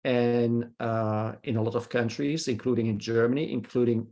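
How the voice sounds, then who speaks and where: A man from Belgium, leading a film discussion over a video call, pausing often with filler sounds and checking in with "hmm?". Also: mid-range voice, little pitch movement, darkish tone. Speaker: discussion leader, professor.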